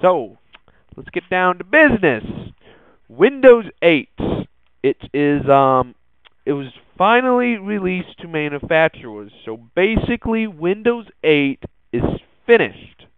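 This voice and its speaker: The sound is thin and phone-like, dry and close with little room to it. A man talks casually as a podcast host, in a smooth voice. His delivery is halting and hesitant as he works through the news. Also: high-pitched, big pitch swings, no echo. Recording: muffled, phone-like narrowband audio.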